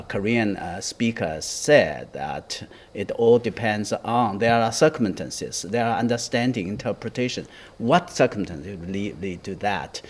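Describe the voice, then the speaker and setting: Low-pitched man giving commentary on a news panel. His delivery is halting, full of restarts and fillers, with each point arriving in a measured burst.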